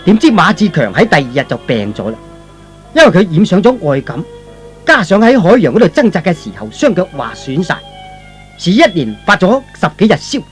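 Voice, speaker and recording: A man's voice: high voice, huge pitch swings, brisk, faint music behind. A man narrating a scripted serial drama in the dramatic manner of old radio storytelling.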